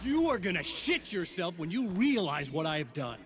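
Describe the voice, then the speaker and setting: High-voiced evil twin, jumpy mocking tone, music behind. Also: male voice, very animated, moderate pace. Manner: mocking, gleeful. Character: taunting evil male doppelganger.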